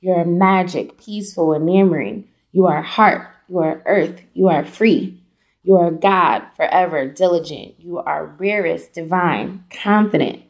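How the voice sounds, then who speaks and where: A woman poet reciting a spoken word blessing in deliberate, incantatory short phrases. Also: lowish voice.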